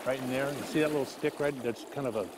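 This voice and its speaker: A man's voice: medium pitch, quick pace, background noise. A middle-aged man, a fishing guide, wading in a river and explaining casting step by step, often checking in with "okay?". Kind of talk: on-the-spot fly-casting instruction.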